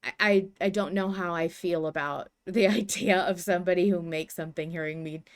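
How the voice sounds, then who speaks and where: Smooth, low-voiced woman co-hosting a podcast. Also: steady tone, brisk. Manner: hesitant, self-deprecating. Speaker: podcast co-host. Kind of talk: casual podcast discussion.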